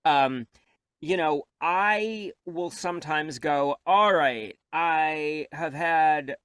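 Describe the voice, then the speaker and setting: A reflective, self-deprecating man speaks as a guest in an interview, and his voice sits a little high. The recording is dry and close to the mic. He picks up pace when he gets animated. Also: drawn-out words, clear, resonant tone, no room sound. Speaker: audiobook narrator and coach, guest. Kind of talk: candid interview conversation.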